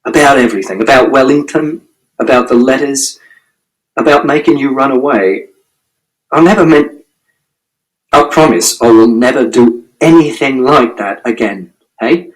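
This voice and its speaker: A man voicing an angry, shouting middle-aged father in an audiobook reading. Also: mid-range voice, moderate pace, pauses often, close to an everyday voice, rough recording. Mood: pleading. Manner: shouting, furious, swearing.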